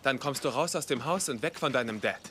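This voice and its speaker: A male actor as an earnest young investigator in a dubbed TV drama, speaking standard German. His voice is bright and clear-cut, gentle and reassuring, over light but noticeable background noise. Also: medium pitch, fairly quick, close to an everyday voice. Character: young man investigator, earnest and sympathetic with a troubled teenager.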